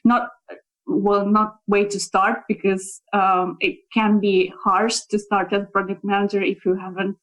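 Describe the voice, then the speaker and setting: A woman's voice: mid-range voice, level tone, unhurried, low-quality recording. A young Italian woman, a native Italian speaker, talking about her work as a localization project manager in a casual interview, thoughtful and full of fillers and restarts. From Italy. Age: young adult.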